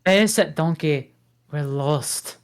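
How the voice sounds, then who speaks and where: Woman reading a grumpy, slow-talking ogre. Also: deep voice, slight background noise. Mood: exasperated. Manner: slow, grumbling, exasperated. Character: gruff male ogre, grumpy but decent. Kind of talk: amateur table read.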